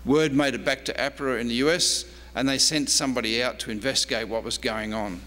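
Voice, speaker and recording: A man's voice: mid-range voice, fairly even intonation, brisk, pushed and bright. Man lecturing in a reverberant hall. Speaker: historian and archivist giving a lecture. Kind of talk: prepared lecture read from notes. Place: convention presentation room. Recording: noisy, reverberant hall with hum.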